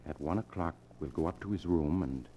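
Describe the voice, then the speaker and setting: An actor playing a cold, controlling younger man who plots murder, in the deliberate, insistent style of 1940s radio drama. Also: a bass voice, dark tone, a little hiss or hum. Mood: firm, commanding. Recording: dull old radio broadcast recording.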